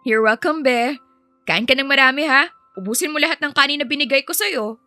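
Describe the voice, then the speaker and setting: A woman's voice: high voice, fairly quick, sharp tone, no echo, soft background music, voice pushed up. A woman voicing a perky, affectionate, cheeky young nanny with a chirpy, doting manner in a dramatised story.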